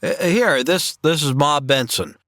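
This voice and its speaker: A man playing an easygoing drifter gunslinger with a relaxed, hesitant way of talking, in a comedy audio drama. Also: a little high, measured pace, background noise. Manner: laid-back, hesitant fillers.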